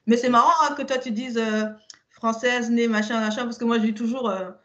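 A woman's voice: fairly high pitch, a little fast. A woman from Cameroon who moved to France, talking casually and candidly, repeating words for emphasis. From born and grew up in Cameroon, later moved to France. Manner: animated storytelling, repeats words for emphasis, tag words. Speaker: podcast host in conversation. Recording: slightly dull lo-fi sound.

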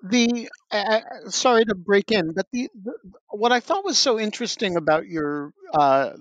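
A dry, close recording of an interviewer whose voice is ambiguous in sex. The delivery is conversational and halting, with repeated words on the way to each point. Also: mid-range voice, no echo, poor audio. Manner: tentative, stammering repetition of words. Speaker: interviewer, podcast host.

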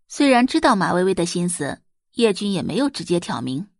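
A woman narrating a web novel as a scripted audiobook, telling the story in an expressive, dramatised way. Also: fairly low pitch, fairly quick, creaky, no echo, clean studio sound.

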